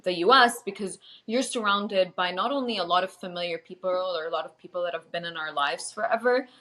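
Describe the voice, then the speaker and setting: A woman co-hosting a casual podcast from home over a video call, with a light American-tinged accent, chatty and quick to laugh. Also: on the low side, fairly bright tone. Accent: light American-tinged English. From Lebanon. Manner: chatty, fillers and restarts, laughs often. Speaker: podcast co-host. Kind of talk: casual chat.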